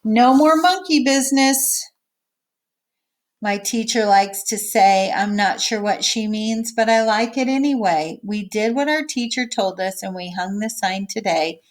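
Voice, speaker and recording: A woman's voice: medium pitch, expressive, a little slow. Woman reading aloud and explaining to children. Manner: explains simply, checking in with okay and all right. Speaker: teacher reading a picture book aloud.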